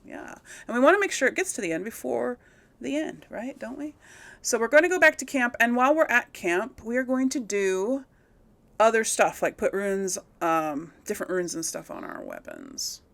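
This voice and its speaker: A woman's voice: a little high, big pitch swings, normal speed, creaky, a bit bright. A woman hosting a gameplay video in casual commentary, rambling and thinking aloud as she repeats herself.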